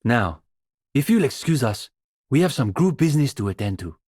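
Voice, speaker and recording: A young male communist student for a video game. He is bookish and wry, wording things formally with earnest condescension. The voice sits at a middle pitch, recorded close and dry. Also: sing-song, normal speed, a little mellow, slightly rough, dry recording. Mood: explanatory. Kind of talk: scripted video game character dialogue.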